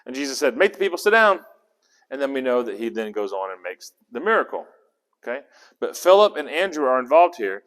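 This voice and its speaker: A man teaches scripture to a small group in a loose, conversational way, stopping often to quiz his listeners. His voice sits at an ordinary middle pitch. The sound carries a long hall reverb. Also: sing-song, fairly quick, fairly bright tone. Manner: conversational, asks the group questions, restarts words. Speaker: Bible teacher. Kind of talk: interactive Bible study teaching.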